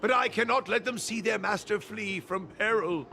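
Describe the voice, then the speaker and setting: A man voicing a stubborn, dutiful old master craftsman in a video game, speaking formally and firmly with a creaky voice. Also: high-pitched, normal speed, sharp tone, faint music behind, full character voice. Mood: resolute, stoic. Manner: formal, firm, resolute.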